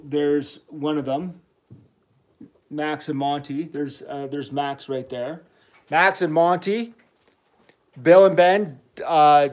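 A man's voice: on the high side, darkish tone. A middle-aged man, a dad, chatting casually about toy trains with his child on a livestream. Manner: enthusiastic, chatty, rambling toy talk. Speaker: toy channel host, dad.

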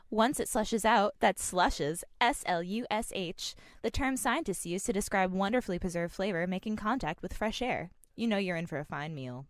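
A woman acts out a chipper old-time radio pitchwoman. She sells with emphatic phrasing at a medium female pitch, close and dry. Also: a bit bright, no room sound, lightly acted. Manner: salesy, emphatic.

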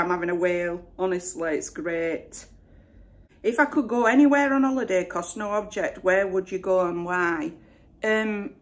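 A woman in her forties with a West Yorkshire accent, chatting to camera at home in a relaxed, self-deprecating way. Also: mid-range voice. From Northern England. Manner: chatty, self-deprecating, lots of "you know". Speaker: YouTuber. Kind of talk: casual Q&A chat to camera. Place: home room with flowers behind.

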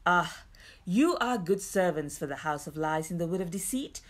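A woman's voice: fairly low pitch, expressive, moderate pace, close to an everyday voice. A woman voicing a bossy, greedy male dwarf in a storybook, taunting and commanding. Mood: gloating.

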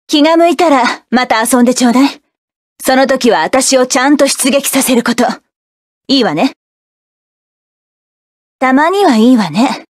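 Proud, defiant mecha pilot. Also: female voice, high-pitched, no room sound, studio quality, full character voice. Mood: cool, teasing. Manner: sharp, defiant, commanding battle lines. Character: proud, combative young woman mecha pilot. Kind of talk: game character voice lines.

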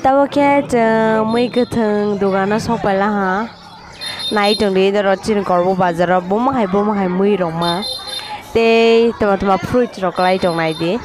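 A woman's voice: mid-range voice, normal speed. A woman vlogger chatting casually as she walks through an outdoor market, filming on a handheld phone. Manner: chatty, relaxed running commentary. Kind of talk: casual market walk vlog. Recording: crowd noise of a busy market. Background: busy market crowd.

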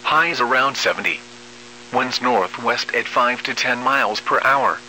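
Automated-sounding male weather announcer, text-to-speech, piercingly bright, measured and formal, faint radio hum. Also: medium pitch, poor audio. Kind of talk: automated weather bulletin reading.

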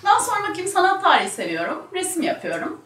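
A woman's voice: very high voice, huge pitch swings, fast, a loud crowd. A woman in her thirties chatting casually as a talk show guest. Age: 30s. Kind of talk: casual chat. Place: small indoor studio set with curtain. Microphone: one shared table microphone, off from mouth. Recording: echoey room, distant microphone.

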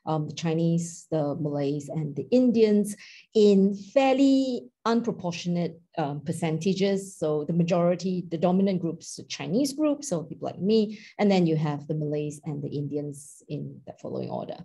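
A middle-aged woman with a Singaporean English accent, a linguist giving an academic webinar talk in a relaxed, conversational way with frequent fillers, recorded on a laptop video call. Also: fairly low pitch, normal speed, slightly rough. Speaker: linguist lecturer. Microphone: laptop webcam on video call.

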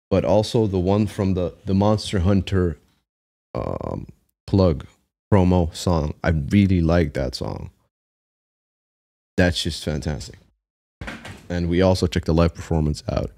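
A man in his thirties with a low voice reacts excitedly to music on a live stream, his pitch leaping up and down as he gets hyped. He talks in loose slang right into a close studio mic. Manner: excitable, slangy, breaks into laughs and hums. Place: small room with blue lights, gaming chair. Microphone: studio mic on arm, headphones.

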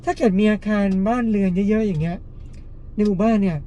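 A man's voice: high-pitched, fast talker, dark tone. Man explaining a gadget test, faint noise. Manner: explanatory, frequent polite particles. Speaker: gadget review host. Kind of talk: casual product test narration to viewers.

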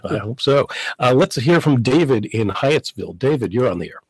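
A middle-aged American man hosts live talk radio from a small studio. His voice is mid-pitched and a little husky, captured close and dry on a studio mic. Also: expressive, normal speed, a little mellow, no room sound, rough recording. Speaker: radio host. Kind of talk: live radio interview hosting. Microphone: close studio condenser mic, headset on.

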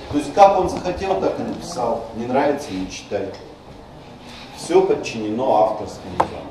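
Lecturer in a very echoey room. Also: male voice, medium pitch. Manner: repeats key terms slowly, conversational asides. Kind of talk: university lecture. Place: lecture hall. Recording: far-off mic in an echoey lecture room with noise.

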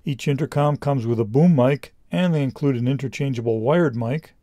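A middle-aged man reading a scripted product review in a measured, explanatory way. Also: medium pitch, warm tone, no echo, clean studio sound.

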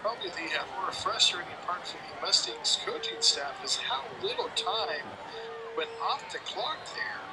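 Lively male high school football announcer. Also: very noisy. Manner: lively, fast play-by-play with rising excitement on big plays. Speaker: play-by-play announcer. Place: outdoor high school football stadium at night.

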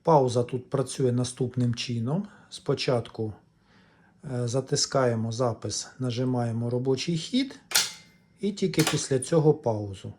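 A man's voice: medium pitch, unhurried, darkish tone, slight background noise. A man giving a casual, step-by-step repair demonstration, explaining in a hesitant way. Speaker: electronics repair vlogger.

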